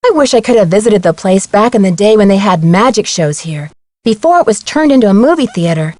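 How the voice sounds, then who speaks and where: A woman playing a curious, plucky young amateur detective in an adventure game, chirpy and clearly spoken. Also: mid-range voice, animated, fairly quick, darkish tone, dry recording, clean studio sound, natural voice. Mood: excited. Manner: inquisitive, chirpy, clearly articulated. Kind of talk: scripted adventure game dialogue.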